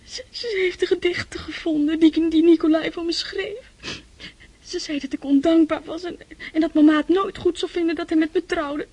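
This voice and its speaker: A tearful young girl in a period radio drama. Her voice is extremely high, broken by crying. She sounds hurt and hopelessly in love. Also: steady tone, normal speed, darkish tone, no echo. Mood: sobbing, hurt. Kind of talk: radio play acting.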